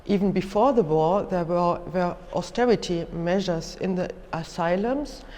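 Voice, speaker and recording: Woman lecturer, German accent, slow halting delivery, careful explanations, long hall reverb. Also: lowish voice, warm tone, a little hiss or hum. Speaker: museum lecturer. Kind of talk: educational lecture, unscripted explanation.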